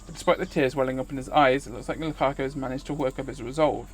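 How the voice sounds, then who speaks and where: A man's voice: medium pitch, steady tone, quick pace. A man streaming a visual novel, reading its narration aloud. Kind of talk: scripted narration read aloud.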